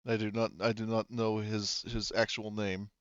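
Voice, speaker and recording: A man improvising as game master of a tabletop roleplaying game, halting as he searches for words and swearing casually. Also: on the low side, little pitch movement, smooth, no room sound, highs cut off. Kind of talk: improvised narration of a tabletop roleplaying game, relaying characters' replies.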